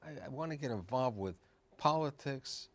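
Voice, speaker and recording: A man's voice: mid-range voice, lively intonation, moderate pace. A man hosting a conversational television interview, speaking emphatically and prompting his guest.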